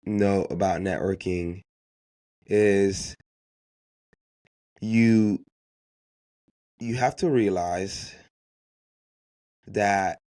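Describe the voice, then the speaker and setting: A man with a fairly low voice and Haitian Creole as his first language hosts a motivational business podcast. He talks off the cuff and emphatically, repeating his key phrases and throwing out rhetorical questions to drive points home. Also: steady tone, very slow, pauses often, warm tone. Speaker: podcast host giving networking advice. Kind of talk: unscripted motivational business talk.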